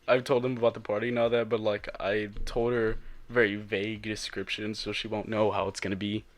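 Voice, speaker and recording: A man's voice: lowish voice, level tone, a little fast. A young man co-hosting a podcast, talking crudely and joking with lots of swearing. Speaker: podcast co-host. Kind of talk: casual chat.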